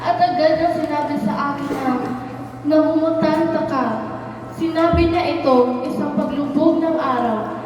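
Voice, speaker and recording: A woman's voice: high voice, fairly even intonation, normal speed, lightly acted. A woman playing an earnest young maiden of legend, a daughter in love, in dramatic amateur radio drama. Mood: earnest confession. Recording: echoey hall, distant, music behind.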